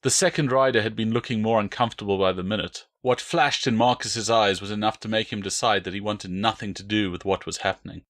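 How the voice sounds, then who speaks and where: A man's voice: on the low side, fairly quick, few pauses, fairly bright tone. A man reading his own novel as a dramatic, scripted audiobook narration.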